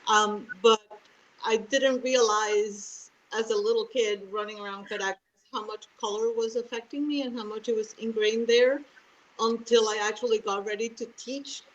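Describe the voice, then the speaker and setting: A woman, thoughtfully recounting her background in a relaxed panel chat over a video call. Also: a little high, moderate pace, highs cut off. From Venezuela. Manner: thoughtful, rambling, laughs lightly. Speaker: mosaic artist and panelist. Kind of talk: casual panel talk.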